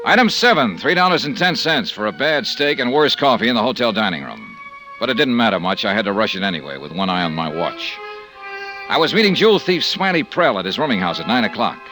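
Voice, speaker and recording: An actor playing a wry, hard-boiled male investigator in a 1950s radio drama, with a clipped, deadpan, wisecracking manner. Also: medium pitch, close to an everyday voice, low-quality recording. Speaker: series lead and narrator. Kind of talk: 1950s radio detective drama acting, first-person narration. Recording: vintage radio recording with music.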